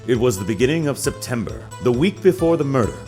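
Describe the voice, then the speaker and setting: A man's voice: medium pitch, big pitch swings, fast talker, warm tone, soft background music, close to an everyday voice. Smug, flowery male witness played by an actor. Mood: self-assured. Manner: pompous, flowery, self-satisfied. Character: smug, affected male detective agency boss testifying. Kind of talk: dramatised video game voice acting.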